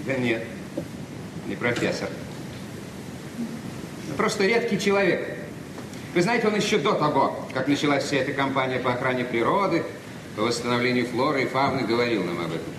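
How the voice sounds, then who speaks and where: Man acting glib middle-aged journalist, animated intonation, pompous affability, noisy roomy old radio drama. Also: mid-range voice, normal speed, long pauses, far from the mic, lightly acted. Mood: thoughtful. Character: Vitaly, glib middle-aged Moscow journalist and popular lecturer, self-satisfied. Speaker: actor in a radio play. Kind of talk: 1970s Soviet radio play acting.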